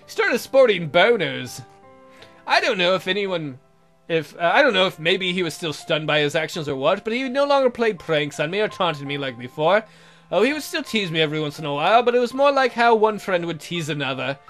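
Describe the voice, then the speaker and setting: Guy with a highish swoopy voice reading a visual novel out loud, jokey and theatrical, music in the background. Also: sing-song, a little fast. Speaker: let's player, host. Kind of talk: reading a visual novel aloud with joking asides.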